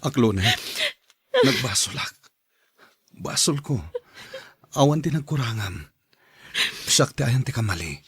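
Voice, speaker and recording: A man's voice: mid-range voice, husky, no echo. A man playing an unfaithful, contrite husband in an Ilocano audio drama.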